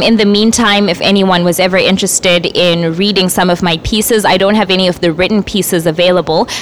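A woman's voice: on the low side, a little hiss or hum. A young woman from Zimbabwe, a spoken word artist, introducing herself earnestly on community radio with many fillers. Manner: earnest, measured, with frequent fillers.

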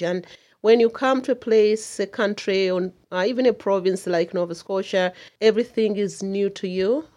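A woman's voice: medium pitch, darkish tone. A middle-aged woman from Uganda, speaking earnestly and emphatically as a community association chair in a radio interview.

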